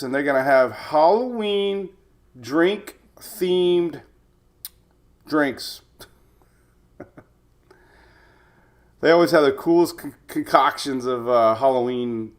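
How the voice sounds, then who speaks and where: A male live-stream host with a fairly high voice chats casually to viewers. He rambles and jokes along, and his nose sounds a little stuffed up from a cold. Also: slow pace, creaky, pauses often. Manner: chatty, rambling, jokey.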